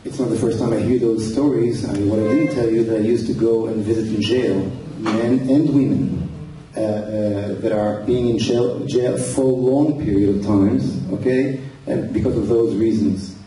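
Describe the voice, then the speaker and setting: A man's voice: fairly low pitch, fairly even intonation, normal speed, hardly any pauses, dark tone, slight background noise. An Israeli man addressing a crowd as a party leader, earnest and plain-spoken, repeating words as he searches for them. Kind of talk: public speech. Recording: echoey hall, heard from far off.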